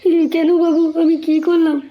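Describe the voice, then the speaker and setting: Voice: extremely high pitch, completely flat delivery, moderate pace, noisy background. A performer voicing a timid, servile male tea seller in a comedy cartoon, whining and pleading, on dull lo-fi audio. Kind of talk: amateur comedy cartoon dialogue.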